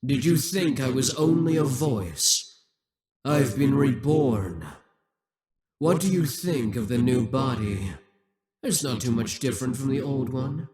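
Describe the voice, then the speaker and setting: Demon king, male performer: proud conqueror, coaxing yet menacing, slow deliberate delivery, ASMR roleplay monologue. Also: mid-range voice, darkish tone, very echoey, far from the mic, lightly acted. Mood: menacing, triumphant.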